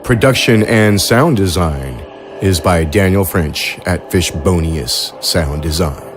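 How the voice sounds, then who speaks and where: Man, podcast announcer, credits reading, low voice, slow and measured. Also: expressive, music in the background. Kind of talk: scripted announcing.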